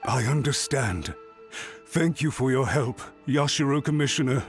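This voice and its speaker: A man's voice: mid-range voice, unhurried, soft background music, natural voice. An actor playing an anxious male government official in a game, speaking apologetically and deferentially. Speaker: game character.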